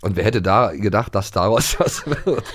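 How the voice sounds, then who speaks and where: A man's voice: lowish voice, lively intonation, moderate pace, fairly bright tone. A middle-aged man co-hosting a podcast about radio plays, chatting casually and joking enthusiastically. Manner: enthusiastic, joking, hums and sings along. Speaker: podcast co-host.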